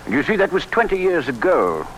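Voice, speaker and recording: Fussy British gentleman, nervous, hesitant, swinging pitch, noisy vintage radio. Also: big pitch swings, fairly quick. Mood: nervous, apologetic. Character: nervous, apologetic gentleman householder. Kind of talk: old-time radio drama acting.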